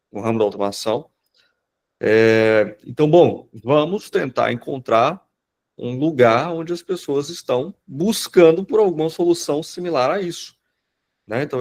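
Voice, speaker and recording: A man with a cold talks in a hoarse, slightly nasal voice at an ordinary mid pitch. He rambles informally through a live webinar, full of fillers and restarts. He sounds like he is on a computer mic during a video call. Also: a little mellow. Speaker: automation business owner presenting in a webinar. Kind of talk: casual live presentation.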